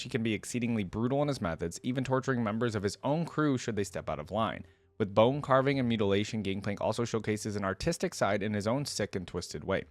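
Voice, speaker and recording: A man's voice: fairly low pitch, no echo. A man giving casual, informal reaction commentary as a video host, thinking aloud as he goes.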